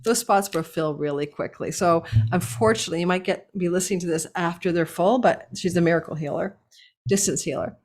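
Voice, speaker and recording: A woman with a hoarse, slightly low voice reads horoscopes in a loose, chatty way. She wanders off on tangents and laughs at her own asides, recorded indoors at a house. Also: brisk, a little mellow. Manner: chatty, digressive, laughs at herself. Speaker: astrologer host. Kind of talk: casual horoscope reading.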